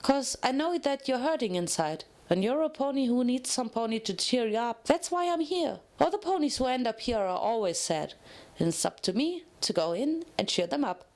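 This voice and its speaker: A woman's voice: a little high, lively intonation, normal speed, a little mellow. A woman voicing a cheerful, chatty, naive pink pony inmate in a dramatic story reading. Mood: perky, chatty. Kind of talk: dramatic fan fiction reading.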